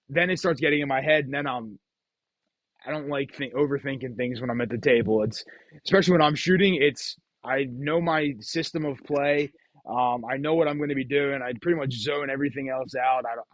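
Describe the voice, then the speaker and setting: A man's voice: medium pitch, no room sound, highs cut off. A man chatting casually and telling gambling stories as the host of the show. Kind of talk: casual chat, storytelling about craps.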